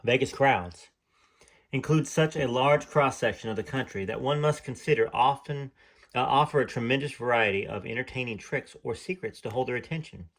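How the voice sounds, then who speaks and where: A man's voice: mid-range voice, normal speed, a bit bright. A man reading aloud from a memoir in an earnest tone, stopping now and then for chatty, hesitant asides. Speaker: channel host reading from a memoir.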